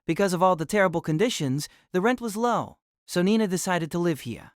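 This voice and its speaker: A male narrator telling the story in an even, measured reading. His voice sits slightly high, and the recording is dry and close. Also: no room sound. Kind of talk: scripted novel narration.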